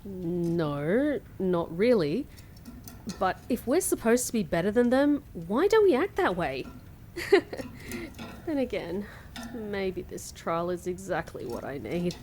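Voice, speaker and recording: Lady doing a wry defiant young woman in a fantasy audio comedy, mid voice, really expressive, talks back. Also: mid-range voice, takes it slowly, slight background noise. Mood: sarcastic, indignant. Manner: sardonic, wry, outspoken. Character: sarcastic defiant young woman held captive by fae.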